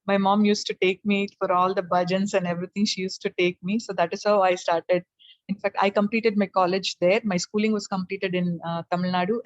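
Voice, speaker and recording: A soft-spoken middle-aged woman from South India, Telugu her first language, telling her story in a flat, even tone with lots of pauses and "uh"s. Her voice comes through a video call that sounds dull and lo-fi. The sound is dry, with no room echo. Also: fairly low pitch. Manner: soft-spoken, rambling, with frequent fillers and small laughs. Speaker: guest presenter. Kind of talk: personal testimony on a video call.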